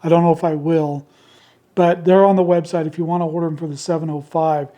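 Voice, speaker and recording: Hoarse, high-voiced man in casual chat. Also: fairly even intonation, fast talker, dark tone, muffled recording. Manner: easygoing and rambling, homespun phrasing. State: hoarse, worn-out voice. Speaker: ham radio channel host selling handmade keypads. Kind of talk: casual product talk to camera. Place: indoor radio shack with computer behind.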